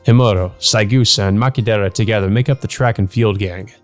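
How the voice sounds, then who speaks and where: A man's voice: fairly low pitch, brisk, faint music behind, lightly acted. A man voicing an earnest, easygoing, domestic teenage schoolboy from a visual novel, plain and mildly wry.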